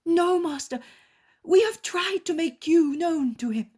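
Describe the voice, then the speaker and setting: A woman's voice: very high-pitched, measured pace, a little mellow, voice pushed up. A woman voicing an earnest male disciple who speaks devotedly to his master.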